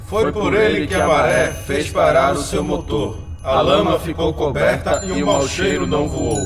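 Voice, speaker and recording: A man playing a villager who declaims celebratory praise verse in an animated film. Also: a little high, normal speed, lightly acted. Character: male villager reciting reverent praise of a newborn. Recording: echoey, distant, under loud bell-like music.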